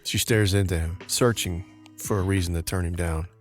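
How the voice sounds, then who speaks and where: Low male narrator reading scripted scenes for an audio drama, soft music behind. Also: steady tone, normal speed.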